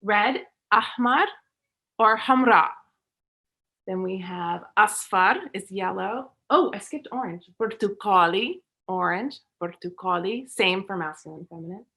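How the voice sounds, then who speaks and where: A woman raised in the United States leading a children's story time lesson, talking slowly and cheerfully for young listeners. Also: mid-range voice, pushed and bright. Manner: upbeat, slow and clear teaching for young kids.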